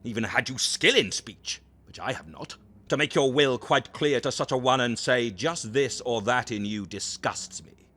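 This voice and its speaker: A man playing a proud, jealous Renaissance duke in an audio drama, speaking verse in a haughty, deliberate, emphatic manner. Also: mid-range voice, bright, forceful tone. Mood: indignant, bitter.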